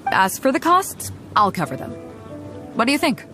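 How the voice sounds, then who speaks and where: A woman voicing a confident, easygoing female ship captain who settles disputes with an amused, relaxed manner. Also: on the high side, moderate pace. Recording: game cutscene with loud background music.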